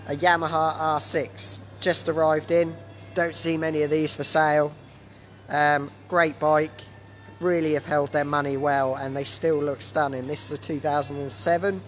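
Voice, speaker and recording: A man in his thirties with a slightly croaky voice from a cold, casually presenting stock as a dealer on a live walkaround. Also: a little high. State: has a cold. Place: large indoor motorbike warehouse showroom. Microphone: handheld phone. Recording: noisy handheld phone in warehouse.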